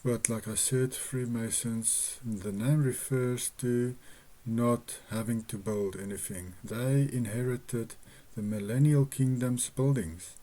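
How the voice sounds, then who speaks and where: Slow, mellow man with Afrikaans roots. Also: medium pitch, steady tone, poor audio. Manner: earnest, measured, with hesitations and restarts. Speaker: religious video host. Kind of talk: slow personal teaching monologue.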